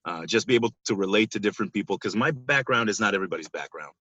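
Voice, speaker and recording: A man's voice: fairly low pitch, animated, racing, sharp tone, dry recording, highs cut off. A man in his thirties from Israel, giving conversational interview answers as a podcast guest over a band-limited call. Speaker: podcast guest, basketball coach.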